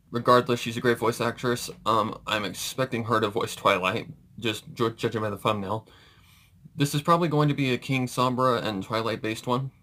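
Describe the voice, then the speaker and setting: A man's voice: fairly low pitch, sharp tone. A man hosting a reaction video, giving casual commentary in an informal, hesitant, rambling way.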